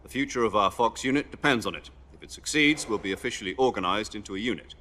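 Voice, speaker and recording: A man's voice: lowish voice, animated, fast talker, pushed and bright, slight background noise. An actor playing a military commander who briefs his field agent in a game cutscene. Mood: briefing. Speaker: mission commander on radio.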